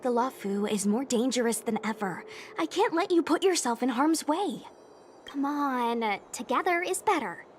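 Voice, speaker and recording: High-voiced, earnest young soldier girl. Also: animated, normal speed, a little hiss or hum. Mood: earnest, protective. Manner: informal, earnest, slightly hesitant. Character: friendly, earnest young female soldier, eager and protective. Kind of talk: scripted video game dialogue.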